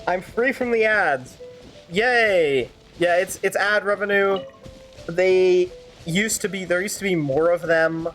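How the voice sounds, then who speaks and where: A woman streaming a game, chatting casually and wryly in halting, improvised commentary. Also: fairly low pitch, fairly even intonation, low-quality recording. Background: game music.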